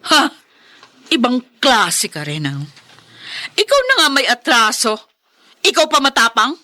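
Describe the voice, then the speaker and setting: An indignant sister in a radio drama, facing down her enemy with open scorn. Her voice is bright and cutting, with lively swings in pitch. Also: a little slow, heavily acted. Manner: scornful, confrontational. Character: indignant, defiant sister standing up to villain. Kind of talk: Filipino radio drama acting.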